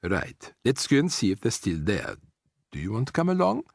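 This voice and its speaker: A man voicing a calm elderly grandmother who speaks softly and slowly in a storybook reading. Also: on the low side, creaky, no echo, studio quality, exaggerated voice. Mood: tender. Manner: soft, tender, unhurried.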